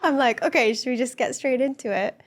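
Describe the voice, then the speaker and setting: A woman with a soft, gentle voice sitting a little above the usual pitch, talking through personal experiences as a podcast guest. Her speech is earnest and reflective, with easy laughter woven through a relaxed conversation. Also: fairly quick.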